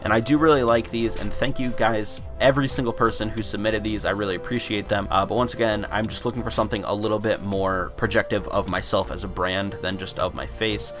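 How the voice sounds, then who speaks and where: A man's voice: lowish voice, fairly even intonation, brisk, hardly any pauses, over music. A young man with a cold, chatting casually over a screen recording as he reviews fan art, rambling and repeating words. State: congested from a cold. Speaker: gaming YouTuber.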